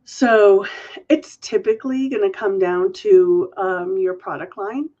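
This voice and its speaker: A woman's voice: medium pitch, fairly even intonation, slow pace, warm tone, dull audio. A woman hosting a live question-and-answer stream, nasal and congested from a cold, chatting through viewer questions. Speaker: host.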